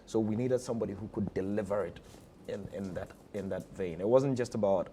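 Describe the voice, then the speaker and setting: A man's voice: on the low side, fairly quick, dark tone, a little hiss or hum. A Ghanaian-accented man being interviewed as a theatre director, talking conversationally and leaning on repeated phrases for emphasis.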